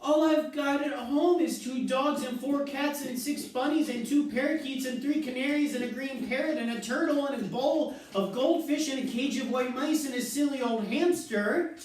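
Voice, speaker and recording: A shrill, bratty little girl who demands everything at once, put on by a man reading aloud. The voice sits high and whiny. It is caught from a distance in a very echoey room. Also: normal speed, hardly any pauses, a little mellow, slight background noise. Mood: demanding. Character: spoiled shrieking young girl. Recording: very echoey room, far mic.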